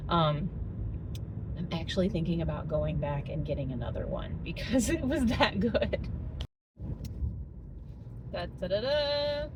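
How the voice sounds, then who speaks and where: A woman's voice: lowish voice, a little slow, long pauses. A middle-aged woman chatting informally to camera as a family vlogger, in a casual, talkative way. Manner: informal, chatty, laughs often. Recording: steady car and road noise.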